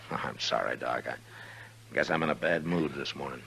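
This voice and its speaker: Deep, stern western marshal. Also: male voice, measured pace, vocal fry, bright, forceful tone, a little hiss or hum, exaggerated voice. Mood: dry, weary. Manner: terse, dry, firm. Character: stern, weary, world-worn western town marshal. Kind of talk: 1950s western radio acting.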